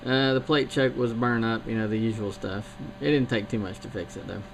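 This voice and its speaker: Man from around Charleston, CB radio operator, gravelly voice, matter-of-fact, heavy static. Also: lowish voice, steady tone, fairly quick. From Charleston, South Carolina area. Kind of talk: CB radio chat. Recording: radio link with loud static.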